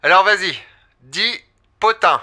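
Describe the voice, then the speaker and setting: A man's voice: sits high, unhurried, pushed and bright, heavily acted. A man playing a playful, helpful studio mentor who explains like a showman in an audio comedy drama. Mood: playful, instructive. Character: playful, helpful male sound-studio mentor showing off tricks. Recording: music clearly behind the voice.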